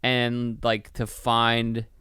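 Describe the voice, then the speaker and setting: A man's voice: medium pitch, monotone, very slow, sharp tone, smooth, dry recording, clean studio sound. A man co-hosting a podcast, chatting casually about films in a profane, slangy way. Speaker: podcast co-host. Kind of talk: casual film review chat.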